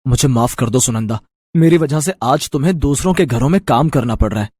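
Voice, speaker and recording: A man's voice: medium pitch, lively intonation, fairly quick, a little mellow, husky, no echo. Cartoon husband: earnest, apologetic, down on his luck, an actor plays him. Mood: remorseful. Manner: remorseful, earnest. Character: injured poor husband, apologetic.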